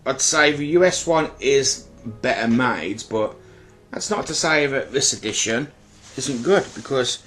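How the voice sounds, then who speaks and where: A man's voice: medium pitch, lively intonation, unhurried, a bit bright. Congested man, casual video commentary. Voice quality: slightly congested, bunged up. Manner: informal, apologetic, rambling. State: stuffy with a blocked nose. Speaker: YouTube collector presenting his own videos. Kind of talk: casual unboxing-style product commentary.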